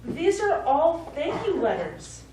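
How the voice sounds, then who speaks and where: A woman's voice: high voice, very animated, takes it slowly, exaggerated voice. An actress playing a concerned middle-aged daughter pleading with her elderly father in a stage play. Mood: questioning. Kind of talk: live stage play acting. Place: small indoor theatre stage. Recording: echoey theatre, distant mic, faint noise.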